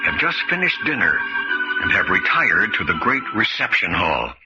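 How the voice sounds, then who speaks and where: An actor as a grave, portentous narrator of an old-time radio mystery. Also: male voice, low voice, sing-song, normal speed. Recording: dull lo-fi radio recording. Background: music.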